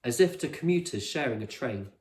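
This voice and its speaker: A man's voice: medium pitch, expressive, fairly quick. A man reading a storybook aloud as a teacher would, in scripted narration for children, in an echoey room.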